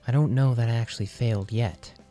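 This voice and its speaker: A man's voice: mid-range voice, fairly quick, warm tone, faint music behind, pitched above the performer's own voice, lightly acted. A man voicing an exasperated young male student, defensive and sarcastic as he protests.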